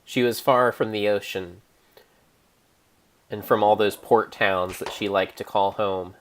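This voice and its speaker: A man narrating an improvised story in a theatrical, measured way, pausing between phrases. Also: lowish voice, steady tone, poor audio. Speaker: streamer, narrator.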